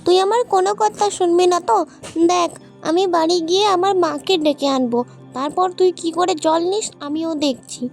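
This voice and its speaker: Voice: very high voice, moderate pace, vocal fry, soft background music. A performer voicing a quarrelsome, bossy village girl in a cartoon, scolding and emphatic. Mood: scolding, haughty. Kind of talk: cartoon voice acting, dramatic dialogue.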